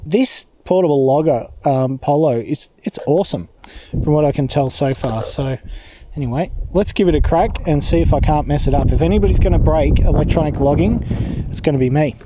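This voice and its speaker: A man's voice: fairly high pitch, brisk. An Australian man rambling casually and self-deprecatingly as he vlogs outdoors while working on a task. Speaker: vlogger and amateur radio operator. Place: outdoors, portable radio setup. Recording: faint background noise with clicks.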